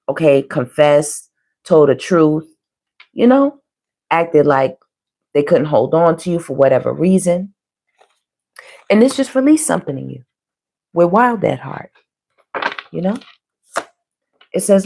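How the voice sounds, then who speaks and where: Low-pitched, hoarse woman reading tarot. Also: warm tone. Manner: colloquial, frequently checking in with "you know" and "okay". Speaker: tarot reader hosting a live online reading. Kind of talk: casual, conversational tarot reading to viewers.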